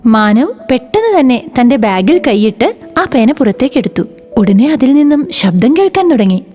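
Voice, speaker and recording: A woman's voice: high voice, big pitch swings, moderate pace, darkish tone, faint music behind. A woman narrating a scripted children's moral story.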